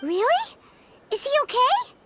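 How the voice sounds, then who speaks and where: Brief: a tiny squeaky sidekick sprite, excitable, slow and high, over game music. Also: female voice, exaggerated voice. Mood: eager. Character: small female companion sprite, squeaky and excitable. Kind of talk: game cutscene voice acting. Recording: game audio with clear music behind.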